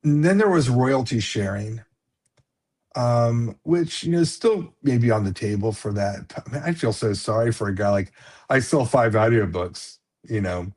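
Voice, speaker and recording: A man's voice: mid-range voice, expressive, a little slow, darkish tone. A man who writes books, chatting casually as a guest on a live stream, rambling with many fillers and restarts and a slight lisp. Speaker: author guest.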